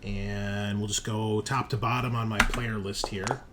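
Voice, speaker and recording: A man's voice: on the low side, little pitch movement, unhurried. A man narrating casually as game master, improvising descriptions with informal asides. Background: background music.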